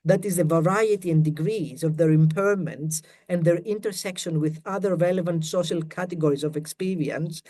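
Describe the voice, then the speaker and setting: Brief: Greek-accented male academic, mellow voice, measured pace, reading a prepared talk over a video call. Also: high-pitched. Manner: careful, measured reading of prepared text with quotes. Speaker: academic panellist. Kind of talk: academic webinar presentation.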